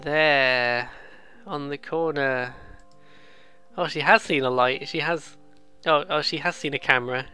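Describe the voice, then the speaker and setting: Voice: medium pitch, fairly even intonation, a little slow, long pauses, bright, forceful tone, faint music behind. A British let's player giving casual, rambling, amused commentary on a visual novel, with some swearing. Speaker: let's play host.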